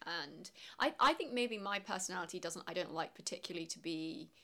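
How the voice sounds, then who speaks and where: A woman with an English accent, co-hosting a podcast and talking in a reflective, measured way. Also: lowish voice, bright, forceful tone. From England. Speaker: podcast co-host. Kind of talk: casual podcast chat.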